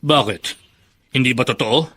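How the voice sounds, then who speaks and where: A man's voice: medium pitch, normal speed, fairly bright tone, slightly rough. An actor playing a concerned male confidant in a Filipino radio drama, questioning insistently. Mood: probing, concerned.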